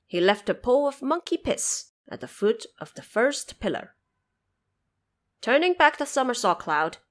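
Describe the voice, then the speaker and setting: A woman narrating a fantasy tale as a scripted audio drama, with theatrical, deliberate phrasing. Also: fairly high pitch. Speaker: storyteller, narrator.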